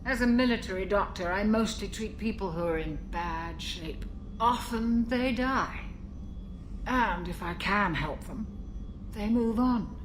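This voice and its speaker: Seasoned ship's doctor for a game cutscene, female actor: slow, measured, mature, wry and caring, mid pitch. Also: slight background noise, close to an everyday voice. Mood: reflective, somber. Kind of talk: video game cutscene acting.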